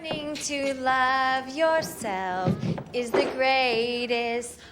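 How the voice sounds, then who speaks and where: A woman's voice: high-pitched, fairly even intonation, drawn-out words. A woman playing a vain, earnest teenage girl singing off-key in a TV series talent show. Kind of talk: TV series acting. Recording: TV show clip with music behind. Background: music.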